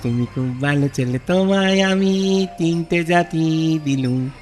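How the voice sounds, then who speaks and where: A man's voice: a little high, takes it slowly, a little mellow, soft background music. A man voicing a kindly cartoon ghost uncle who lives in a well, repeating his phrases. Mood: kindly, generous.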